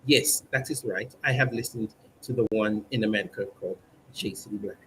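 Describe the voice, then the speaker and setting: A man's voice: fairly low pitch, normal speed, a bit bright, slight background noise, poor audio. A man hosting a comedic mock newscast in a stilted, formal newsreader manner, drawing out his words. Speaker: mock news presenter on a livestream.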